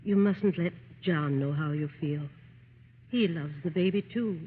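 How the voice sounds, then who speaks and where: An actress playing a gentle, consoling older mother in an old radio drama, with a soft, measured delivery. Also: on the low side, phone-quality sound, close to an everyday voice. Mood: tender consoling. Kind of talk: 1930s-40s radio drama acting. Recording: thin, noisy vintage radio recording.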